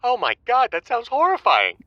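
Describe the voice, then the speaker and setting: A man's voice: very high voice, wildly swooping pitch, moderate pace, dry recording, muffled recording, full character voice. A man playing an anxious, timid, squeamish caller on a comedy advice show. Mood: fretful. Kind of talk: improvised comedy call-in.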